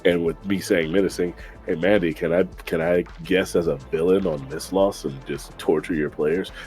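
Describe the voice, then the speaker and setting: A man with a deep voice, a player and voice actor, giving a playful, upbeat sign-off on a stream. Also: fairly even intonation, normal speed, a little mellow, soft background music. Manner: playful, upbeat self-promotion. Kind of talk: casual stream outro.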